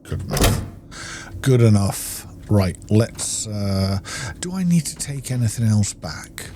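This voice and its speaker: A man's voice: on the low side, lively intonation, slow pace, background noise. A man giving casual gameplay commentary, muttering to himself as he plays. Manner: muttering to himself while playing. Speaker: gamer, video creator.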